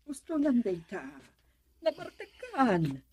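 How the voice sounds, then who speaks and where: A woman's voice: high voice, wildly swooping pitch, slow pace, dark tone, slightly rough, full character voice. A Filipino woman playing an anxious, coaxing mother of a grown son in a radio drama. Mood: worried, pleading.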